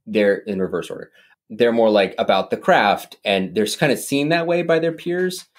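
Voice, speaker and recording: A man co-hosting a podcast, chatting casually and at length about a film, with lots of fillers and restarts. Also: moderate pace. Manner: animated, rambling, mimics voices with big pitch jumps. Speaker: podcast co-host. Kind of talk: casual movie discussion.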